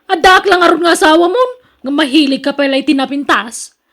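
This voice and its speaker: A woman's voice: high voice, fairly quick, fairly bright tone. A woman with an Ilocano accent playing a jealous, hardworking, exasperated wife in a radio drama.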